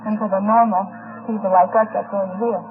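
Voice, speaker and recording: A woman's voice: medium pitch, fairly even intonation, a little fast. A woman from New Jersey giving plain, reserved answers in an informal 1950s radio interview. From New Jersey, USA. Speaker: interviewed convention visitor. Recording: noisy, tinny 1958 radio field recording.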